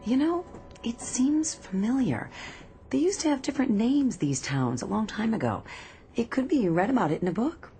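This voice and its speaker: An actress playing a gentle, playful, dreamy woman passenger in a radio drama. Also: a little high, big pitch swings, normal speed, faint music behind. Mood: dreamy, musing.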